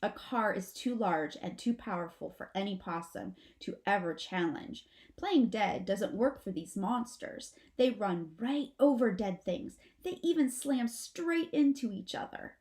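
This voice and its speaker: A woman voicing a stern mother possum from a children's storybook, commanding and deliberate like a stage director. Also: medium pitch, expressive, darkish tone. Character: stern, theatrical mother possum instructing her babies. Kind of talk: children's storybook character reading.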